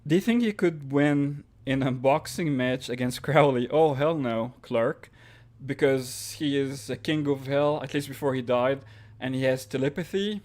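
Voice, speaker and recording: A man's voice: mid-range voice, a little slow, a little mellow. A man from Egypt with an Egyptian accent, hosting a live stream and reading out viewer questions, then answering them offhand. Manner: reads questions aloud then answers offhand, with fillers and restarts.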